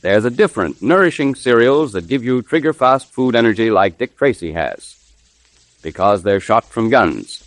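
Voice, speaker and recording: A male announcer in the 1930s radio advertising manner. He pitches emphatically to young listeners with an animated, swooping delivery. The vintage recording is very dry. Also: no echo. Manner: emphatic, salesmanlike, addressing children.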